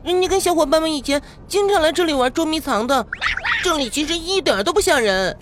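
An extremely high, childlike little creature, eager and devoted. It is audio drama acting, with clear noise behind the voice. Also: female voice, expressive, fairly quick. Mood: eager, reassuring.

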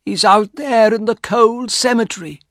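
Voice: medium pitch, moderate pace, fairly bright tone, no room sound, studio quality, voice pushed up, lightly acted. A narrator voicing a grieving old mother in an audiobook, pleading urgently and insistently. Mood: sorrowful, bitter. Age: older. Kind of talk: audiobook character acting.

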